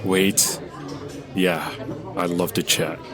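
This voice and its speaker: A man's voice: sits low, flat delivery, slow pace, a crowd in the background. An actor playing a lonely, guilt-ridden young man who talks in a hesitant, subdued way, in an audio drama. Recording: audio drama with music underneath.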